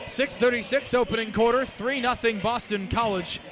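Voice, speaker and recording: A young man calling American football live on the radio as a play-by-play announcer, with crisp, detailed delivery. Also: very high-pitched, moderate pace, music in the background. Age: young adult. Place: stadium game broadcast. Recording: live outdoor broadcast with background noise.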